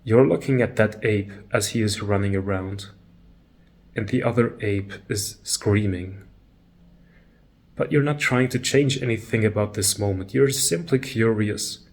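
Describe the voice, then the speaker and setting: A man leading a guided meditation talk, calm and measured, pausing between short phrases. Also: fairly low pitch.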